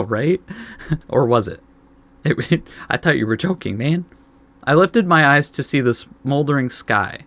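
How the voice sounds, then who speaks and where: A man's voice: mid-range voice, fairly bright tone, dry recording. A man hosting a let's play, reading a visual novel aloud with informal commentary and stumbling over the text now and then.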